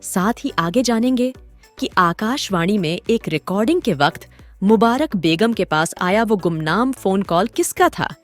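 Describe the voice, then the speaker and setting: A woman's voice: mid-range voice, expressive, a little slow. A woman hosting and narrating a scripted documentary story in a dramatic, literary storytelling manner. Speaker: host and narrator. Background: background music.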